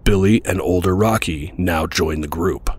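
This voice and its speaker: Deep husky male narrator. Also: moderate pace. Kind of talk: scripted audio drama narration.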